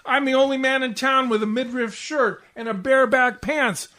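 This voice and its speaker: A man's voice: extremely high pitch, normal speed, bright, forceful tone. Man playing an exasperated, henpecked radio husband. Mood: indignant, aggrieved. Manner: indignant, complaining. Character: exasperated henpecked husband, bickering and self-pitying. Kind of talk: 1940s radio comedy acting.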